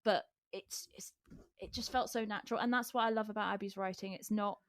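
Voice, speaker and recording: A woman's voice: mid-range voice, fairly even intonation, fairly quick, no room sound, studio quality. A woman who works as a voice actor, talking casually and with gushing enthusiasm about an audio drama. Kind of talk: casual behind-the-scenes chat.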